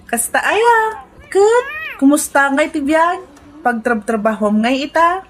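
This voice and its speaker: A chatty, nosy Ilocano village woman played by an actress, high-pitched and exclamatory. She sounds like a radio comedy gossip, with faint ambient noise behind her. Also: expressive, slow.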